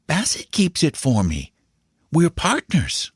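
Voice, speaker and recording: A man's voice: a little high, huge pitch swings, slow pace, husky, no room sound, studio quality, voice pushed up, full character voice. A man voicing a grave, earnest young boy who shares secrets in a storybook. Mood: grave, confiding. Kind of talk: storybook character dialogue.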